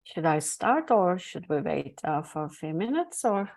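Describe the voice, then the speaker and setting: A woman's voice: on the low side, very animated, a little slow, gravelly, darkish tone. A woman from Turkey speaking English with a Turkish accent, giving an online talk over a video call with many hesitant fillers. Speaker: guest speaker, academic. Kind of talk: online presentation.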